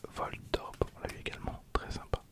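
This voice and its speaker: A man in his twenties whispering softly and informally, as in a casual ASMR video with hushed running commentary. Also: fairly quick. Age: 20s.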